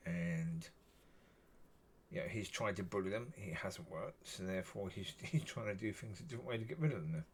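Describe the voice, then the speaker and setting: A man with a husky voice, talking alone in a rambling, musing way and often saying "yeah". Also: low-pitched, expressive, fairly quick, a little hiss or hum. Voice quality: husky, gravelly. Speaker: solo video talker. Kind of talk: rambling solo monologue.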